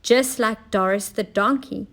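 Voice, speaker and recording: Woman as an emphatic, high-voiced boy inventor. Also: measured pace, a bit bright. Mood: proud. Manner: proud, emphatic, announcing. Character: noisy, eager boy inventor, proud and busy.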